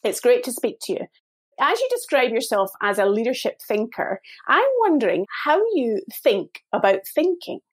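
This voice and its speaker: A woman's voice: on the high side, sing-song, normal speed. A woman hosting a podcast, reading a polished introduction and asking interview questions. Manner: polished, welcoming presenter delivery. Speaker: podcast host.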